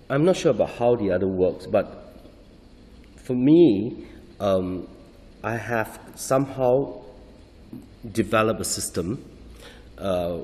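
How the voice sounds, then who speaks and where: Male actor, low voice, hesitant restarts, long hall echo. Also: a little mellow. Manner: halting, searching for words, frequent restarts. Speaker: stage actor. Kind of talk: interview answers.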